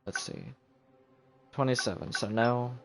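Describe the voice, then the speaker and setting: A man's voice: mid-range voice, lively intonation, unhurried, vocal fry. A man streaming a video game with casual live commentary, muttering to himself as he goes. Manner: muttering to himself, counting aloud. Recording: music playing behind the voice. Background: game music.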